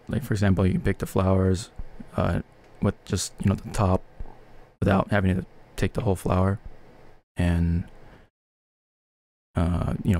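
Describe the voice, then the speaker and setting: A man co-hosting a gaming podcast in casual chat, with a halting, rambling way of talking. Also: low voice, creaky, a little mellow. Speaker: video game podcast co-host.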